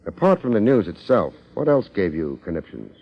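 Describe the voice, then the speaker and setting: An actor playing a wry, hard-boiled American detective with deadpan wisecracks, in 1950s radio drama style. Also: male voice, lowish voice, moderate pace, slightly rough, exaggerated voice. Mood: sardonic, pressing. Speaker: detective and narrator. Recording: dull, band-limited 1950s radio recording.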